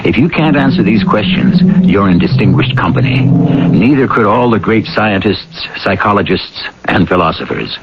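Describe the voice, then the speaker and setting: A man hosting a 1980s radio drama, narrating in a measured, musing, theatrical way. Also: fairly low pitch, lively intonation, very noisy. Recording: dull old radio recording, loud music.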